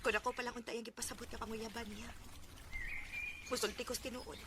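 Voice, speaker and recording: A woman's voice: fairly high pitch, level tone, brisk, very noisy, close to an everyday voice. A woman acting a lovelorn young woman with a secret crush in a radio drama. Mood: wistful.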